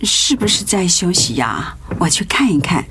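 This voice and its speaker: Casting: kindly old granny, Taiwanese Mandarin, swooping expressive intonation, selfless and doting, radio play. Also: female voice, fairly low pitch, normal speed, noisy background. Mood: tender. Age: older. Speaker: voice actor in a radio drama. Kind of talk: dramatic radio play acting.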